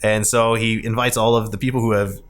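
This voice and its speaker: A man's voice: lowish voice, flat delivery, a little fast. A man hosting a podcast in a casual, rambling, conversational style, as he recaps a film. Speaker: podcast host.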